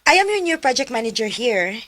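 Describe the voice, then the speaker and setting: A woman's voice: fairly high pitch, big pitch swings, brisk, a bit bright. A woman with a Filipino accent playing a confident, friendly project manager in a radio drama. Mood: confident, welcoming. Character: Miss Nika, confident, friendly single female project manager.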